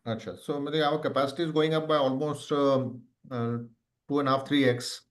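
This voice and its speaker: Male earnings-call moderator, Indian accent, mid-range voice, halting delivery while putting questions to management. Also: mid-range voice, fairly even intonation. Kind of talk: business question-and-answer call.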